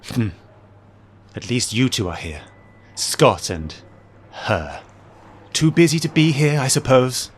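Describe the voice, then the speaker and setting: A man's voice: medium pitch, sing-song, slow pace, pauses often, slight background noise. A man playing a bitter, prickly wounded superhero in an audio drama, curt, sullen and scornful.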